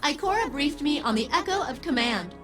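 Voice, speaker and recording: A woman's voice: sits high, lively intonation, brisk, fairly bright tone, soft background music. A woman voicing a dutiful, precise AI scientist in a video game, formal and dryly wry. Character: dutiful, precise female artificial intelligence scientist.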